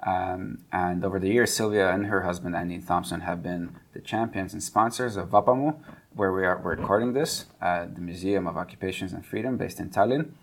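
A man hosting an interview podcast, talking in a relaxed way with frequent "uh" fillers. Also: low-pitched, fairly quick. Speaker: podcast host. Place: museum in Tallinn.

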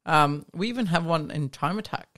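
A man's voice: fairly high pitch, expressive, moderate pace, fairly bright tone. A man co-hosting a casual car podcast, talking with enthusiasm, often jumping in and laughing. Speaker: podcast co-host. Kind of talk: casual chat.

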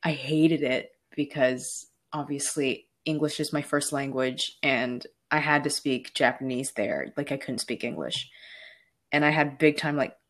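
Young woman, low voice, relaxed conversational podcast talk, reflective personal storytelling. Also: measured pace. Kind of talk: casual discussion.

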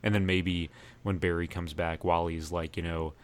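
A man with a very low voice talks as a podcast co-host. His delivery is casual and thoughtful, like someone working through an opinion with friends. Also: level tone, moderate pace, clear, resonant tone. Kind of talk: casual chat about TV shows.

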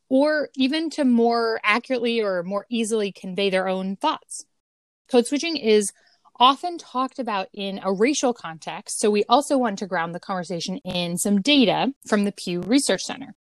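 A woman co-hosting a podcast, reading a scripted explanation in a measured way. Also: medium pitch, dry recording. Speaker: podcast co-host.

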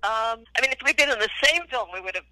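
Older female interviewee, enthusiastic storyteller, high-pitched and piercingly bright, dry call audio. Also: animated, fast talker, dry recording, poor audio. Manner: enthusiastic, chatty. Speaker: interview guest, former child actress. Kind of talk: casual interview, animated storytelling.

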